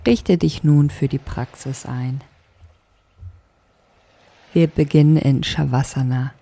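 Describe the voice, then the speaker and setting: A woman leading a guided sleep meditation as a yoga nidra teacher, speaking softly in a calming, deliberate way. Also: a bass voice, breathy edge. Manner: soft, calming, deliberate instruction.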